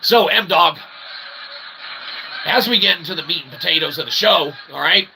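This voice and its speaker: Guy w gravelly creaky voice, rambling jokey livestream host, wordy mock-formal talk, noise in the background. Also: on the high side, normal speed. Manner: mock-formal, wordy phrasing with frequent check-in tags. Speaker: host of an online show. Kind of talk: rambling comedic monologue to a livestream chat.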